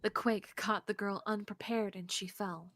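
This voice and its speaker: A woman reading visual novel narration aloud on a game stream in an expressive way, close to the mic. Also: mid-range voice, moderate pace, soft background music. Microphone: close streaming mic, dry sound.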